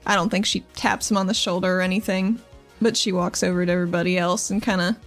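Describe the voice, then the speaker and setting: A woman's voice: lowish voice, steady tone, fast talker, faint music behind. A woman playing a tabletop roleplaying game, chatting and narrating her moves in an informal, playful way. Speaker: player. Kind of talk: casual tabletop roleplay chat.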